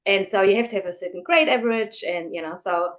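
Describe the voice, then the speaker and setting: A woman's voice: medium pitch, quick pace. A woman from Germany, speaking as a guest in a casual interview, chatty and full of fillers and restarts.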